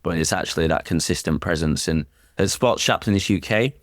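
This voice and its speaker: A man speaking as a sports chaplain in an interview, explaining things in an earnest, reflective and measured way. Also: sits low, dry recording, clean studio sound.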